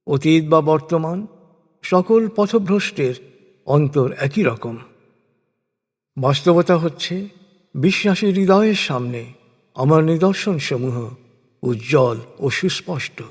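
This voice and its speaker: A man narrating translated scripture in a solemn, measured, formal way, phrase by phrase with falling endings. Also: on the high side, lively intonation, a little mellow. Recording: long reverberant hall effect.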